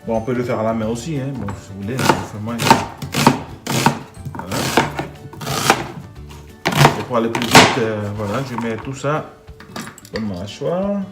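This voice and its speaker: A man's voice: medium pitch, normal speed, long pauses, over loud music. A man from the Netherlands with a Dutch accent, speaking French, talking through a home cooking video with many hesitations and restarts. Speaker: home cook.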